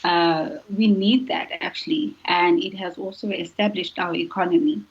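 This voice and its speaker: A woman's voice: on the low side, fairly even intonation, normal speed, husky, muffled recording. A woman from South Africa, a panel guest, giving hesitant explanatory answers with many fillers.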